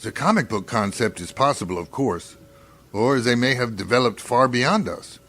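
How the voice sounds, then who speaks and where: A man playing a wry, detached scientist in a science fiction radio drama, musing dryly and deliberately. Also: medium pitch, a bit bright, lightly acted. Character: wry, detached, brooding male scientist doctor on a space crew.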